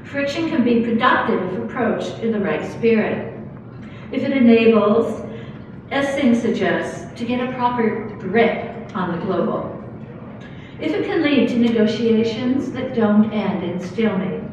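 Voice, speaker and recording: An older woman reading a prepared academic lecture from her notes at a lectern, in a measured way. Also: on the high side, expressive, dull audio, noisy background. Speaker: academic speaker. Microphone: lectern microphone. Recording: echoey hall, camera far off.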